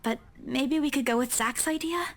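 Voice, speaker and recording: A woman's voice: high-pitched, normal speed, noisy background. An actress playing a hesitant, sweet young woman in a visual novel game.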